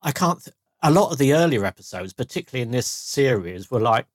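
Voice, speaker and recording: A man's voice: medium pitch, expressive, fast, no room sound, clean studio sound. A man co-hosting a podcast, chatting casually and thinking aloud as he goes. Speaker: podcast co-host. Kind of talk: casual chat.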